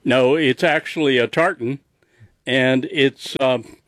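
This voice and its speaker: A man with a mid-pitched voice chats casually on the radio. He is coy and teasing, and the sound is close and dry. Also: slow pace, a bit bright, no room sound. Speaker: radio show contributor.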